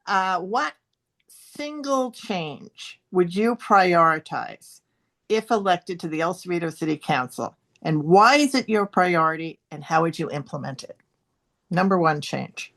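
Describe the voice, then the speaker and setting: Low-voiced woman moderating an interview. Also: expressive, a bit bright. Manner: polite, halting, many fillers. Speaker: interviewer for a political club. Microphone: video call.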